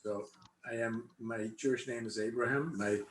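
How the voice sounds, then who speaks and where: Older male interviewee from Cuba, mid pitch, halting conversational delivery, roomy sound. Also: steady tone. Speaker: interviewee, congregation member. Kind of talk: casual personal interview, recollecting. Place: indoor room, online video call. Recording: echoey room, faint background noise.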